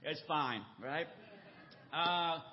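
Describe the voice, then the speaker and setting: A man's voice: fairly high pitch, extremely slow. An older man from the United States gives a conversational church talk to a congregation, with many fillers and tag questions. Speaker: church mission director. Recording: muffled lo-fi recording.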